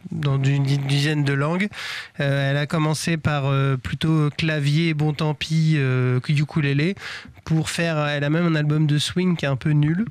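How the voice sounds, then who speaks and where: A man's voice: on the high side, dry recording. A man in his thirties co-hosting a music show, presenting a record in a rambling way with many fillers and restarts. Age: 30s. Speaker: radio music show co-host. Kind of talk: casual chat presenting music.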